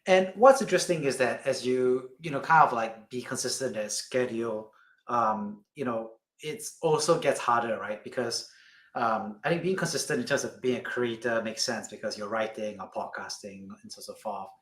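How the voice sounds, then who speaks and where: A man's voice: mid-range voice, moderate pace, a bit bright. A man hosting a podcast interview, putting rambling, multi-part questions conversationally in an echoing room. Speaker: podcast host. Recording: roomy, echoing sound.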